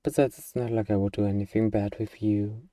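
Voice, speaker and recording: A man's voice: lowish voice, level tone, brisk, warm tone, no echo, clean studio sound, recorded from a distance, natural voice. A man playing an eager, bargaining demon who craves a cuddly pet, in a playfully earnest, wheedling ASMR roleplay monologue. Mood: defensive, reassuring.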